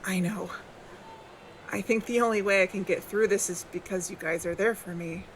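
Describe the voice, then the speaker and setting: An actress playing a quiet, guarded woman who asks tentative, probing questions in a naturalistic audio drama. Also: mid-range voice, steady tone, normal speed, a little hiss or hum, lightly acted, rough recording. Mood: concerned. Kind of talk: naturalistic audio fiction acting.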